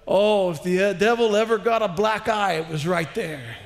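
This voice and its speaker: Very high-voiced guy preaching hard in a big church, shouts a lot, long echo off the hall. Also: moderate pace, creaky. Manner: impassioned, shouting at the peaks and dropping low for quotes. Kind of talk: sermon. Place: large church sanctuary, indoors. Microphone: headset mic.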